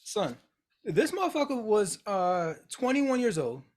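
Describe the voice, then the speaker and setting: A man with a somewhat high-pitched voice co-hosts a casual conversation. He talks bluntly and profanely, retelling events with animated disbelief. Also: a little slow. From United States. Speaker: podcast co-host. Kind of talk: casual chat.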